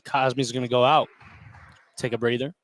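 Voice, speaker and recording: A man's voice: mid-range voice, flat delivery, normal speed. A man giving short color comments during a live basketball radio broadcast.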